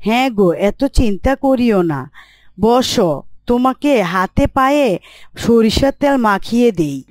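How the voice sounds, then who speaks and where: A woman's voice: a little high, lively intonation, a little slow, darkish tone, no room sound. A woman playing a poor, devout, tender wife and mother in an Islamic story cartoon, earnest and imploring. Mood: comforting.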